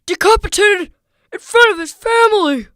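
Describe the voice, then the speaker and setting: A woman's voice: very high-pitched, animated, normal speed, sharp tone, no echo, clean studio sound, voice pushed up, heavily acted. A woman voicing a shocked orc ranger who cries out in horror in a fantasy audiobook. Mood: shocked, horrified.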